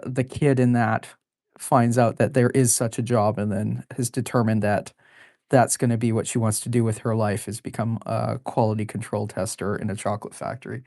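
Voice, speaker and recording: A woman in her forties with a low, deep voice, chatting casually while drawing live. She rambles, laughs often, and fills pauses with plenty of ums and uhs. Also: warm tone, no room sound, studio quality. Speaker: artist drawing live on stream.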